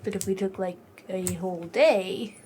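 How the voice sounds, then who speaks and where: A woman's voice: medium pitch, moderate pace, mellow, husky. A woman co-presenting a casual demo, explaining things simply and informally.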